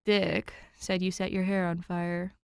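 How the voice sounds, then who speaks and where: A woman voicing a sarcastic, sleep-deprived young man in a fan fiction story, deadpan and mock-formal. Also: on the low side, a little slow, vocal fry, clean tone, dry recording, clean studio sound.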